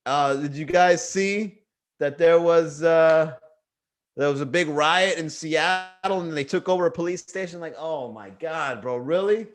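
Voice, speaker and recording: A man's voice: on the high side, a little slow. A man with a Miami accent hosting a comedy livestream, riffing loosely and joking with his chat. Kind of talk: casual livestream stand-up riff.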